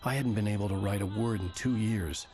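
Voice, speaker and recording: Weary male novelist, played by an actor, low voice, brooding game narration over music. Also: steady tone, fairly quick, darkish tone, lightly acted. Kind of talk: cinematic game dialogue and first-person narration.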